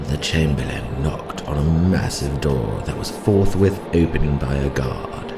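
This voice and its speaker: A man reading an old fantasy tale as a dramatic audiobook, slowly and solemnly, in archaic literary prose. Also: extremely low pitch, expressive, creaky. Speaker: audiobook reader. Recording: roomy echo, loud moody soundscape bed. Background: loud music and atmospheric soundscape.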